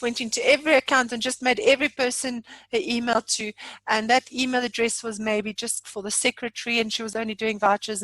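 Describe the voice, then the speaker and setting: A South African woman giving an informal software demonstration, chatty and jokey, poking fun at herself. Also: fairly high pitch, no room sound, rough recording. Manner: chatty, jokey, self-deprecating. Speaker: software trainer.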